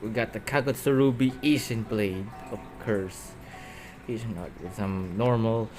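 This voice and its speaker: A man's voice: lowish voice, unhurried. A man hosting a gameplay video with casual commentary in short, clipped remarks. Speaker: gaming video host. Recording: loud game music over voice. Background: game music.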